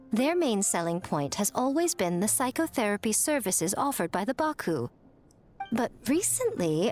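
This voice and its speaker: A woman's voice: medium pitch, lightly acted. A woman voicing an elegant, sly shrine maiden in an anime-style game, arch and teasing with mock innocence.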